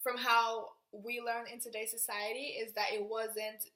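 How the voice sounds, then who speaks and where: A woman's voice: a little high, level tone, unhurried, sharp tone. A young woman from Norway, explaining her self-study to the camera in a casual, thinking-aloud way and often restarting her sentences. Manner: thinking aloud, restarting and trailing off while writing on a board. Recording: roomy echo.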